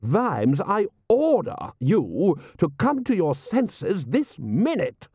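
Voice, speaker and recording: A man's voice: a little high, very animated, takes it slowly, no room sound, clean studio sound, voice pushed up. A man voicing a pompous, indignant aristocratic army lord with a haughty, blustering manner. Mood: outraged.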